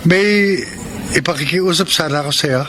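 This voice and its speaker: A man's voice: fairly high pitch, moderate pace, a little hiss or hum. An actor playing an earnest, lovestruck young office man in a romantic radio drama. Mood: hesitant, sheepish. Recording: radio drama with loud music bed.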